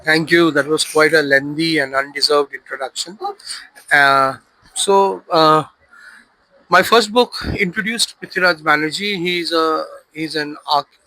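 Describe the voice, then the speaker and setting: A man, an author describing his book in a hesitant, self-deprecating way with many pauses. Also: fairly high pitch, bright, forceful tone, dry recording, poor audio. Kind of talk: casual book pitch.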